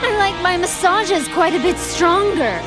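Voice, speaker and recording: High swoopy girly villain monster, teasing and sweet, loud game music behind. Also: lively intonation, a little slow. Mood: taunting. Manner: playful, taunting, sugary menace. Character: gleeful female monster villain who eats people. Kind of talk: video game voice acting.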